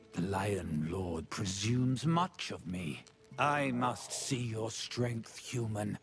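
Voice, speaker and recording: An actor playing a proud, wary gorilla lord in a fantasy game, with slow, weighty and imperious delivery. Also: male voice, fairly low pitch, gravelly. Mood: haughty, suspicious. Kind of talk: dramatic fantasy game acting.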